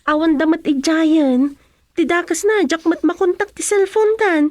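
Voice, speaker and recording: An actress playing a worried young daughter in a radio serial, with a Filipino accent and a plaintive manner. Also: female voice, very high voice, steady tone, measured pace, darkish tone, dry recording.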